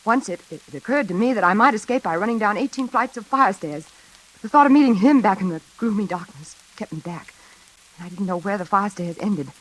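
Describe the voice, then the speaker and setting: An actress playing a frightened, high-strung woman in an old-time radio suspense drama, speaking in an anxious, halting, pleading way. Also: expressive, lightly acted, low-quality recording. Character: frightened, high-strung woman haunted by a convict's revenge threat.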